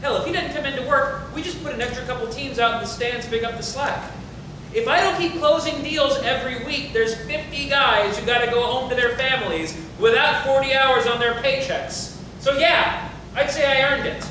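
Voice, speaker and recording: The room is very echoey, with clear rumbling noise. An actor plays a cocky man who closes deals for a company in a stage drama, defending himself in casual, sardonic, profane talk with a very high voice for a man. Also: moderate pace. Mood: defensive, grudging. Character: cocky, self-justifying, profane company dealmaker. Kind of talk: dramatic table reading of a stage play.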